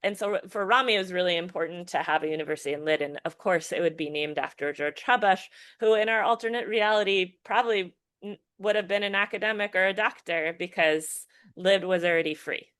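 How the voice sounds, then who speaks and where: A woman's voice: on the low side, a little fast, few pauses, fairly bright tone, clean tone, no room sound, studio quality. A woman filmmaker talking through her work in a casual podcast interview, thoughtful and full of restarts and fillers. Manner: thoughtful, restarts often, many fillers like "you know". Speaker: filmmaker and educator guest.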